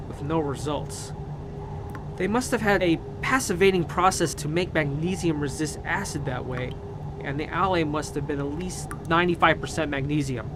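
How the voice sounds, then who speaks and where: An actor playing an earnest male expedition mechanic, deliberately recounting technical findings in a radio drama. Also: on the high side, background noise, close to an everyday voice.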